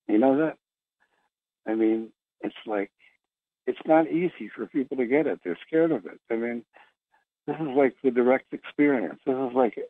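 A man from Philadelphia with an American accent, hosting a casual, rambling conference call over the phone, haltingly repeating his phrases. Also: medium pitch, no echo. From Philadelphia, USA. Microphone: phone line on conference call. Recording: dull, lo-fi phone-call radio sound.

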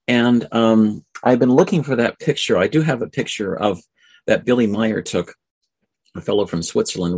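Male talk radio host, lowish husky voice, informal and halting delivery with repeated phrase starts. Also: no room sound. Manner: halting, repetitive, stumbling over phrases. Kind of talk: informal monologue on a talk show.

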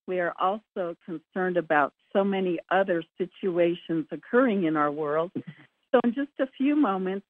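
A woman hosting a conference call with formal, measured, scripted-sounding announcements over a narrow phone line. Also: fairly low pitch, no echo. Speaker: call host. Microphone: telephone.

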